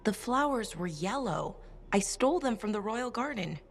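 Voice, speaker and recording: An actress playing an eager young niece who gently corrects her aunt. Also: mid-range voice, lively intonation, normal speed, vocal fry. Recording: game audio with long reverb and music.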